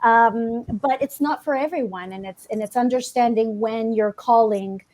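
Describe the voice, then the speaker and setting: A woman podcast guest from a Colombian family, telling her personal story conversationally in an animated way. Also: fairly high pitch, normal speed. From Colombian household.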